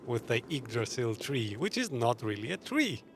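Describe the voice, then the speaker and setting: A man's voice: medium pitch, very animated, normal speed, over loud music. A man streaming a video game, giving casual, chatty commentary as he plays.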